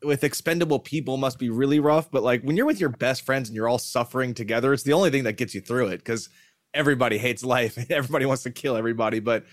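A man's voice: medium pitch, quick pace, no echo, studio quality. A man chatting casually in a slangy, exclamatory way. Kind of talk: casual chat.

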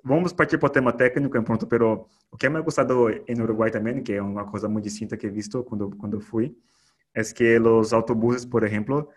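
A man's voice: medium pitch, fairly even intonation, a little fast. Brazilian-sounding man hosting a chatty podcast. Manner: mixes Portuguese words into Spanish, many restarts. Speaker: podcast host. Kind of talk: casual interview chat.

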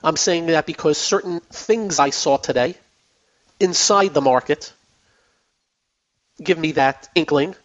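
A man hosts a finance call-in radio show, his voice going hoarse as he talks. He chats in a loose, repetitive way with plenty of fillers, and sits fairly high in pitch. The broadcast sounds dull and lo-fi. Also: measured pace, long pauses. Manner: chatty, repeats himself, many fillers. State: losing his voice. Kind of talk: casual radio market talk.

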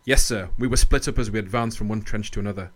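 A man playing a loyal, principled army captain in a radio play, earnest, measured and firm. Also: lowish voice, natural voice. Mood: respectful, puzzled. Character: loyal, principled British army captain defending young soldiers. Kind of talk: radio drama acting and narration.

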